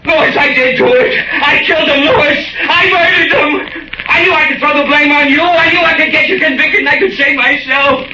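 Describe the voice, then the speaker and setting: A terrified, sobbing guilty lawyer. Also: male voice, very high-pitched, moderate pace. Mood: sobbing confession. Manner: shouting and sobbing in panic. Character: guilt-ridden male lawyer, terrified and breaking. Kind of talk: 1940s radio acting. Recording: scratchy lo-fi 1940s radio broadcast with hiss, echoey and distant.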